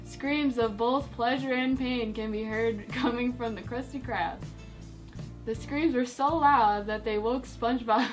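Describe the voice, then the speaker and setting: A woman's voice: fairly high pitch, rough recording. A young adult woman reading a mock bedtime story aloud in a giggly manner. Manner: giggly, breaks into laughter while reading. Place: indoors, against a dark cloth backdrop.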